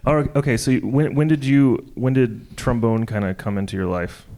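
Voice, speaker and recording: An American man co-hosting a live podcast interview, chatty and joking with quick asides, in a jazz club. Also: medium pitch, mellow, slight background noise. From United States. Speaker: podcast co-host.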